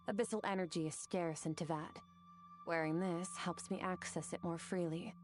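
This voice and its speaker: An actress playing a cool, aloof woman warrior from the abyss in a video game, speaking in a calm, measured, detached way. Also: lowish voice, close to an everyday voice. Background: game music.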